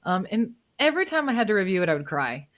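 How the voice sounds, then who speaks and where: A woman's voice: mid-range voice, sing-song, fast, a bit bright. A woman chatting informally and telling an anecdote, with "like" sprinkled heavily through her talk. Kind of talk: casual chat, anecdote.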